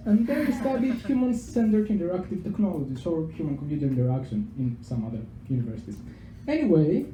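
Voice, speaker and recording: A young Greek-accented man talks into a handheld mic in an echoey pub venue, with faint ambience behind him. His voice is dark and mellow, and his pitch rises and falls in a lively way. He is chatty and self-deprecating, and he often trips over and repeats his words. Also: slight background noise. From Greece. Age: young adult. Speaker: PhD researcher giving a public talk. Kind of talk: informal public science talk. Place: pub venue with a live audience. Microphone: handheld microphone.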